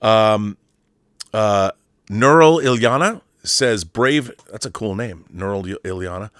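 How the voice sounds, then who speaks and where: A male host with a low voice, talking off the cuff in a casual livestream. He rambles and circles back, restarting sentences and chuckling along the way. The sound is close and dry, with no room around it. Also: a little slow, a bit bright, smooth, no echo.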